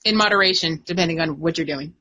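A woman's voice: fairly low pitch, fast talker, bright, forceful tone. A woman in her thirties chatting on a podcast, playful and teasing, laughing a lot. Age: 30s. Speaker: podcast guest co-host. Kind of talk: casual podcast chat.

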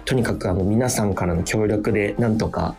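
A man's voice: lowish voice, a little fast, vocal fry, darkish tone. A young man, a guest writer on a casual radio show, politely explaining his announcements. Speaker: guest scriptwriter. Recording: music bed behind the talk. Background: background music.

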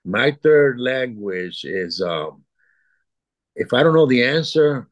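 A man's voice: medium pitch, expressive, slow, no room sound, muffled recording. A man in his sixties from Puerto Rico, chatting as an interview guest, rambling and repeating words as he thinks. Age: 60s. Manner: rambling, repeats words, chuckles between thoughts. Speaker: guest artist. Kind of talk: casual interview chat.

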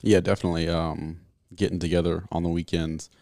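A man's voice: deep voice, flat delivery, brisk, gravelly, a little mellow, no room sound, clean studio sound. A young man co-hosting a casual podcast with a friend, easygoing and self-assured. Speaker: podcast co-host. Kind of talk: casual chat.

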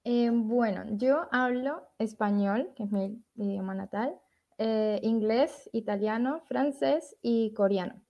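A woman's voice: on the high side, takes it slowly. A young woman from Latin America, a translator and podcast guest, telling stories in a chatty way and laughing often. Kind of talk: casual podcast interview. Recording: dull lo-fi audio.

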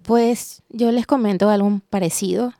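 A woman from Venezuela, hosting a roundtable in a measured, reflective way at a studio microphone. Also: medium pitch, fairly even intonation, dark tone, no echo. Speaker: host.

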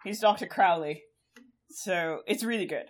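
A woman's voice: on the low side, a little slow. A young woman co-hosting a podcast, chatting casually in a rambling way, laughing often and swearing freely. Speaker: podcast co-host.

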